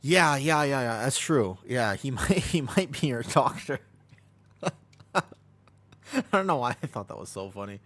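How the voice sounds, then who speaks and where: A man's voice: mid-range voice, animated, measured pace, pauses often, fairly bright tone. An American man streaming a game, chatting casually and rambling as he answers chat and laughs easily. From United States.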